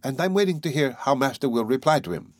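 A man voicing a loyal, placid manservant in an audiobook, polite, deliberate and dryly witty. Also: medium pitch, animated, no echo, studio quality, pitched above the performer's own voice, close to an everyday voice. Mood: deferential. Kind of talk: audiobook character voice.

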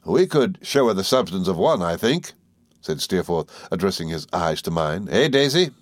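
A man playing a smooth, confident young gentleman. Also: low voice, big pitch swings, dry recording. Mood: teasing. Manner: smooth, teasing, deliberate.

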